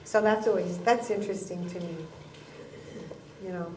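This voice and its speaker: A woman's voice: on the low side, steady tone, normal speed, a little mellow, recorded from a distance. Woman panel guest, chatty, self-deprecating, echoey hall, faint background hum. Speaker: panel guest, talent leader and investor. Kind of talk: live panel talk. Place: event hall with audience.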